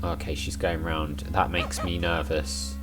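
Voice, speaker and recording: A man with a croaky, worn voice, chatting casually as he plays through an adventure game and thinks aloud. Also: deep voice, flat delivery, measured pace, over music. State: croaky voice, recovering from overuse. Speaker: let's play gamer.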